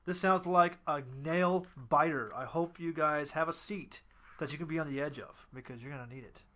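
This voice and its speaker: A man's voice: on the high side, normal speed, pushed and bright. A man co-hosting in casual chat, throwing in sarcastic wisecracks. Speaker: co-host, heckler.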